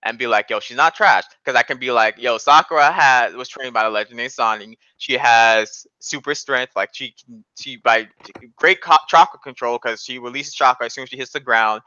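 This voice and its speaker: A man's voice: mid-range voice, normal speed, pushed and bright, clear, resonant tone, dry recording, highs cut off. A man casually debating anime in an argumentative, insistent way, often repeating his points.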